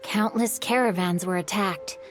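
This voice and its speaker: A woman's voice: medium pitch, natural voice. Composed young scholar voiced by a woman over music. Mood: calm, explaining. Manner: measured, articulate, explanatory. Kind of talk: video game character dialogue.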